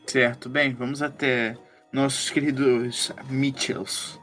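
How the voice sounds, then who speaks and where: A man's voice: mid-range voice, little pitch movement, takes it slowly, a bit bright, faint music behind. A man voicing a cautious, wry detective with a dry, casual manner in a tabletop roleplay. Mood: steady, ready. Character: Jack, male detective, cautious father, wry, loyal.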